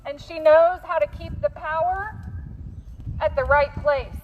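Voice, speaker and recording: A high-pitched American woman addresses an official through a bullhorn at a street protest. She speaks very slowly and deliberately, in pointed questions, with some outdoor noise behind her. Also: fairly even intonation, dull audio. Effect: bullhorn amplification. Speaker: protest activist speaking to the governor. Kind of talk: public protest speech over a bullhorn. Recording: noisy outdoor street recording.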